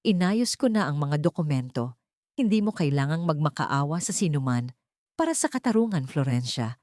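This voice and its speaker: Woman voicing a calm, protective male prosecutor. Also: low voice, normal speed, no room sound, studio quality. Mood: steady, earnest. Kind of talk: audio drama storytelling.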